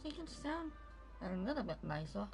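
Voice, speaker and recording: A woman's voice: on the high side, big pitch swings, normal speed. A woman giving offhand, casual commentary while playing through a video game. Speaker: gamer commenting on a playthrough. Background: game music.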